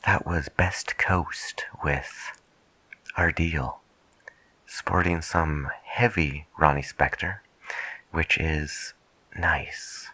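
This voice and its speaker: Very deep, slow, soft-spoken man. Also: dry recording. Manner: soft, half-whispered, unhurried musing. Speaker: late-night radio DJ host. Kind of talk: soft-spoken ASMR radio DJ roleplay.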